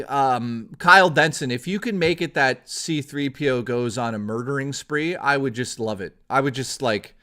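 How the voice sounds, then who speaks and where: A young man hosts a live stream. His voice is hoarse from hours of talking, yet it stays bright and forceful, swinging through a lively pitch range as he chats excitably with viewers and swears freely. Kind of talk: casual live-stream chat.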